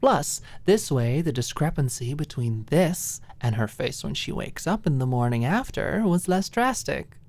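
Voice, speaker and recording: Female audiobook narrator, notably low voice, unhurried pace, expressive storytelling delivery.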